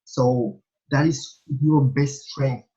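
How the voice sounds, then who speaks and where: A young man from Bulgaria, a native Bulgarian speaker with a Bulgarian accent, answering interview questions in a halting way, full of fillers and restarts. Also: mid-range voice, dark tone. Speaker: interviewee, small vlogger. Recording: dull lo-fi recording.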